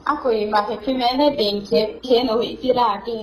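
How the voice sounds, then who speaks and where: A woman's voice: fairly high pitch, fast, a little mellow, faint music behind. A woman talking informally in a recorded phone conversation. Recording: echoey recorded phone call.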